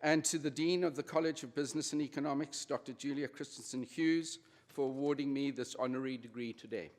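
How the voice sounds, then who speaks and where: A man in his sixties with a South African accent, giving a formal convocation address in a measured, slightly nervous way. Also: medium pitch, darkish tone. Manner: measured, slightly nervous delivery with small restarts. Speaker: honorary degree recipient giving convocation address. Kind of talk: formal ceremony speech. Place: large ceremony hall. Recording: long hall reverb.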